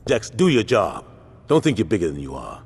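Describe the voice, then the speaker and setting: A man's voice: on the low side, wildly swooping pitch, a little fast, creaky, a little mellow, breathy edge, big-room sound, full character voice. An actor playing a stern, cold male gang leader who gives orders in a video game cutscene. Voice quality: firm, commanding.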